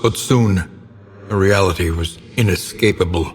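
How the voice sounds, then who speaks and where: A man playing a grave, ominous older narrator of gothic horror tales in a video game, with solemn, theatrical and deliberate storytelling. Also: deep voice, long reverb tail, slight background noise.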